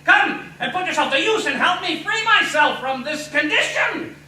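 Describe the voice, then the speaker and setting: A man's voice: very animated, drawn-out words, a bit bright, reverberant, far from the mic, a little hiss or hum, heavily acted. A man voicing a cranky red-faced little bearded dwarf who shrieks curses. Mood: scolding. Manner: shrill, cursing, screeching.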